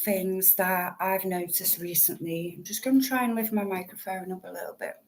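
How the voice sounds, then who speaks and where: A woman's voice: fairly even intonation, moderate pace, background noise. A woman, hosting a casual true crime livestream, rambling and apologetic as she fiddles with her setup. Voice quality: low voice. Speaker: true crime channel host.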